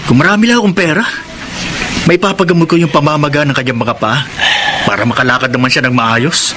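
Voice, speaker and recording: A male actor as a naive poor Filipino workman, colloquial and plaintive. The voice is a bit high. Clear ambient noise runs behind this radio drama recording. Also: fairly quick, lightly acted. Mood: wistful. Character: naive, questioning poor male laborer, devoted son. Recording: noisy ambient background.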